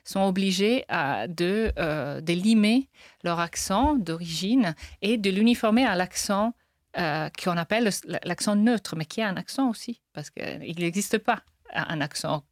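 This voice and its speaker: A woman's voice: fairly low pitch, lively intonation, measured pace, no room sound. Hesitant woman linguist, dry close sound. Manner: hesitant with restarts and light laughs. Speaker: linguist guest. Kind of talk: interview answers.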